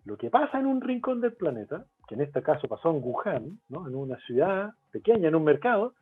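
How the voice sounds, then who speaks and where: A man from Chile with a Chilean Spanish accent, a guest expert in a webinar interview who explains his points in a lively way and poses rhetorical questions. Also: a little high, brisk, husky. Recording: tinny phone-like line, faint music.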